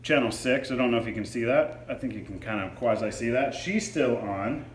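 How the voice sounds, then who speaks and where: A man's voice: medium pitch, a little fast. A man reviewing a product in a casual, hands-on demo, explaining features informally. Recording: echoey room with faint background noise.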